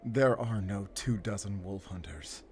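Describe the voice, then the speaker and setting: A man's voice: fairly low pitch, a little mellow, slight background noise, lightly acted. A man playing a wounded, stoic werewolf hunter who speaks in terse, strained phrases in a cinematic audio drama. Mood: wary, puzzled. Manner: terse, halting, strained delivery. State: hurt, weakened. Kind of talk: cinematic audio roleplay acting.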